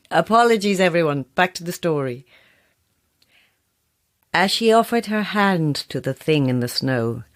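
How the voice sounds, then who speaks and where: An actress playing a proud, sceptical horror novelist in an audio drama, with a wry, self-assured manner. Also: female voice, low voice. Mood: brisk, wry, then eerie storytelling. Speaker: actor.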